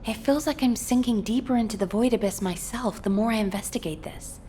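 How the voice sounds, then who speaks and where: An actress playing a thoughtful, earnest researcher giving a measured, formal briefing in a video game. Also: female voice, fairly high pitch, dark tone, slight background noise, close to an everyday voice. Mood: grave, pensive.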